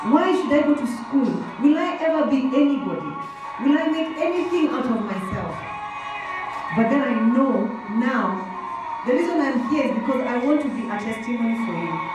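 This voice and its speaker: In a very echoey hall, slightly distant, a Kenyan woman in her thirties speaks on a handheld microphone. Her voice is fairly high and she talks emphatically, like a motivational speaker addressing students, repeating key phrases and asking questions. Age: 30s. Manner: emphatic, with rhetorical questions and repetition. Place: indoor workshop hall. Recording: roomy hall, distant, music behind.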